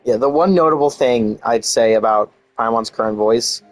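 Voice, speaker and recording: A man doing casual live-stream game commentary, soft-spoken yet projecting, rambling and thinking aloud. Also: medium pitch, normal speed, soft background music, poor audio.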